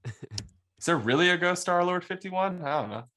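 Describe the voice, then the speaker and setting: Male panelist, animated intonation, joking, mock-insistent, tongue-in-cheek banter. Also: high-pitched, normal speed, slight background noise. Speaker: actor on a panel.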